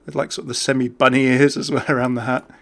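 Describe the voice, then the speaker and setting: A man's voice: medium pitch, flat delivery, quick pace, creaky. A British man hosting a gaming video with chatty, casual, off-the-cuff commentary. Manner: chatty, easily amused.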